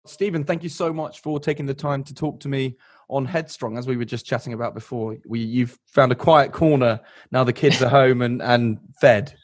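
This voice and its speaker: A man hosting an interview podcast, giving earnest, measured introductions. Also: mid-range voice, dry recording. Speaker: podcast host. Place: London.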